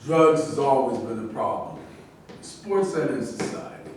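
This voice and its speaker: A man's voice: medium pitch, expressive, normal speed, a little mellow, natural voice. Guy playing a earnest assertive athlete, wont back down, echoey stage reading, mic far off. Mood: solemn. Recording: very echoey room, distant mic, faint noise.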